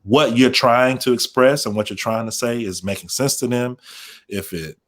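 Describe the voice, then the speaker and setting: A man speaking as a panelist and radio program manager, giving conversational advice in a thoughtful, halting way. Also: medium pitch, a bit bright.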